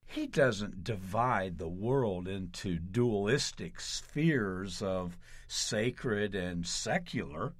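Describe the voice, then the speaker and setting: A man, a pastor, reading a scripted narration in a measured, deliberate way with dramatic emphasis. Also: mid-range voice, darkish tone, dry recording. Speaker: pastor narrating his article.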